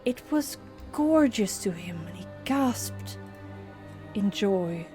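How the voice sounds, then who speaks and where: A woman streamer improvising a bedtime story in a soft, hushed voice that often drops to a whisper. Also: mid-range voice, expressive, slow pace, faint music behind.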